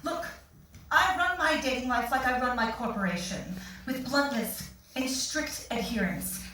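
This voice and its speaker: Casting: actress as imperious businesswoman, clipped and commanding, high forceful voice, stage play. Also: a little slow, a little hiss or hum. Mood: stern, imperious. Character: domineering, blunt female corporate executive on a date. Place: small theatre, staged reading. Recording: echoey theatre stage recorded from far off.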